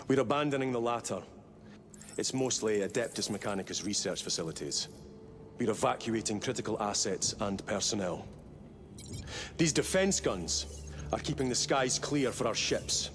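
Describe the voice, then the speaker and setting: An actor playing a stern, blunt space marine captain in a video game, with a curt, commanding manner. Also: male voice, on the low side, a little hiss or hum. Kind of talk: cinematic video game dialogue.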